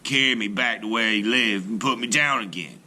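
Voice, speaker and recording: A man narrating a folktale in the first person as a storyteller, in a deliberate, expressive, dramatic way. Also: lowish voice. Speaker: storyteller reading aloud.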